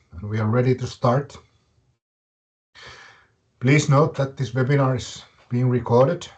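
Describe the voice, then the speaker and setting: A man with a Finnish accent hosting a live webinar, speaking in short, halting, deliberate phrases. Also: medium pitch, darkish tone. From Finland. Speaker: webinar host and fitness academy director.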